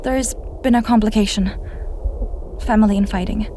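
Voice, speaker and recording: A woman playing an earnest, spirited young adventurer in a fantasy audio drama, direct and expressive. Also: mid-range voice, moderate pace, slight background noise, natural voice. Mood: concerned.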